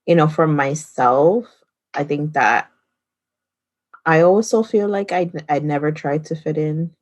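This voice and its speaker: A woman with a notably low voice speaks on a casual podcast. She tells stories in a relaxed, easygoing way and laughs between points. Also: unhurried. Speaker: podcast co-host. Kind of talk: casual conversation.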